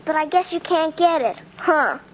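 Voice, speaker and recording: A wistful, plain-spoken little girl, with an extremely high voice. She speaks frankly in 1950s radio drama style, on a muffled old recording. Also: expressive, normal speed.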